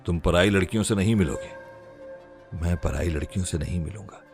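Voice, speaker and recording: A man voicing a hypnotized young man who speaks in a flat, distant way, as if from far off, obeying orders. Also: deep voice, brisk, faint music behind, close to an everyday voice. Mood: dazed.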